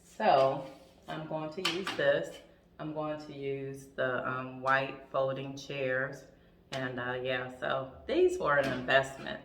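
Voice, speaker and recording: A woman talks through her vlog in a bright, gravelly voice that has gone raspy from a sore throat. She chats loosely, with plenty of ums and restarts, and a faint hiss of noise sits behind her. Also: a bass voice, fairly even intonation, takes it slowly, distant mic. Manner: chatty, with frequent ums and restarts. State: throat trouble, clears throat. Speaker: vlogger. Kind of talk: casual vlog chat.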